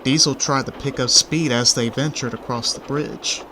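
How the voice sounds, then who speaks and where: A man's voice: medium pitch, steady tone, normal speed, noisy background. A man narrating a scripted children's story in the third person. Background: background music.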